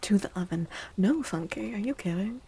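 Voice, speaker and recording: Female ASMR livestream host: hushed, mellow, breathy delivery with gentle, soothing manner and frequent whispering. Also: mid-range voice, lively intonation, normal speed. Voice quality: breathy, often dropping into a whisper. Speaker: livestreamer hosting an ASMR stream. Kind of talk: soft-spoken ASMR, chatting with viewers. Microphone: close microphone.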